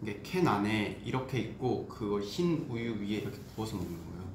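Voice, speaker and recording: A man's voice: on the low side, expressive, a little fast, background noise. A young man chatting on a livestream with bandmates, teasing and laughing easily as he complains. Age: young adult. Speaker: idol group member on livestream. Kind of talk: casual livestream chat. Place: small indoor room at a table. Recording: roomy room echo.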